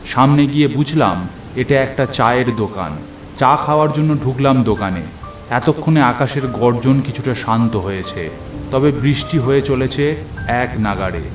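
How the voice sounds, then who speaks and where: A man's voice: mid-range voice, moderate pace, reverberant, over music. A man narrating a horror story in the first person, in a literary, suspenseful storytelling manner. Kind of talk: dramatic scripted horror narration.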